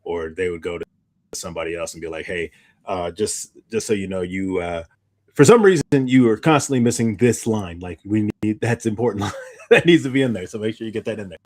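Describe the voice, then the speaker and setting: A man co-hosting a casual podcast, bantering in a halting way and repeating phrases as he reasons. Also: lowish voice. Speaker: podcast co-host.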